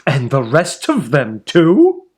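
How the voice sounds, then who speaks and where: A man's voice: on the high side, huge pitch swings, takes it slowly, darkish tone, husky, heavily acted. A man voicing a scandalized, nosy woman neighbor in a storybook, emphatic and affronted. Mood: incredulous.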